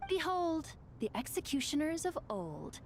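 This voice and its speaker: A haughty servant spirit villainess from a video game. Her voice is fairly high and very animated, dripping with theatrical condescension. A faint noise runs underneath. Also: measured pace, exaggerated voice. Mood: scornful.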